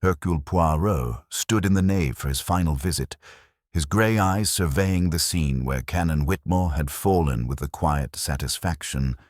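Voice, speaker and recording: A man narrating a scripted mystery story in a measured, formal storytelling manner. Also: low voice, no room sound, clean studio sound. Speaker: audiobook narrator.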